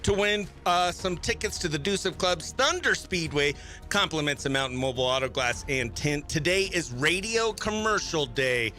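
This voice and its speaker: A man with a high, bright voice hosts a morning radio show. He is exuberant and chatty, tossing out slang between giveaways and phone-in games. Faint music plays along. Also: normal speed.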